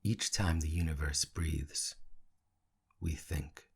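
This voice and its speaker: A man leading a guided meditation in a hushed, soothing, gravelly and husky voice, leaving long pauses between short phrases. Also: rumbling low, fairly even intonation. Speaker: meditation teacher.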